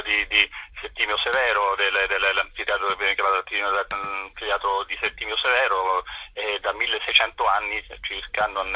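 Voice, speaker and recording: Male phone guest, low-pitched and rough-edged, picking words haltingly in an informal interview. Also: bright, forceful tone. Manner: halting, deliberate phrasing. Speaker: interview guest, festival artistic director. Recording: telephone line on radio.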